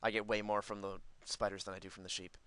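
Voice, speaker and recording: A man's voice: lowish voice, flat delivery, fast, fairly bright tone, smooth, dry recording. A man giving casual let's play commentary, rambling and thinking aloud as host of a gaming video.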